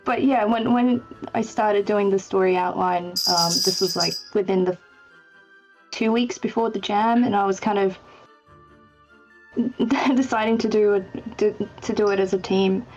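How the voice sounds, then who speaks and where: Woman, average pitch, hesitant, self-deprecating, casual interview answers, slightly dull lo-fi call, faint music. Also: steady tone, measured pace. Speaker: game writer and project lead. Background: background music.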